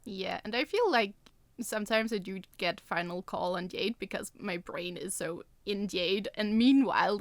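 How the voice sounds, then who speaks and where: Woman podcast co-host just chatting, medium pitch, really dry close recording. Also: a bit bright, dry recording. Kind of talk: casual podcast chat.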